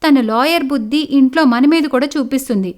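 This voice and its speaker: A woman's voice: high-pitched, brisk, darkish tone, lightly acted. A woman voicing an indignant young elder daughter-in-law, complaining earnestly in a cartoon family story. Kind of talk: cartoon moral story acting.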